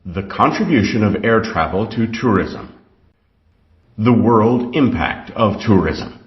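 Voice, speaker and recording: A man reads scripted test instructions and questions aloud in a measured, deliberate manner. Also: fairly low pitch, lively intonation. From Canada. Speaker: exam passage reader. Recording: dull, echoey room recording.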